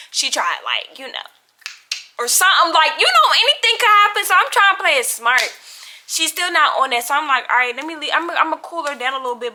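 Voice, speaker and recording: A woman's voice: high voice, bright, forceful tone. A young American woman telling a casual storytime in a dramatic, slangy way, acting out the dialogue as she goes. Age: young adult. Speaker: storytime vlogger.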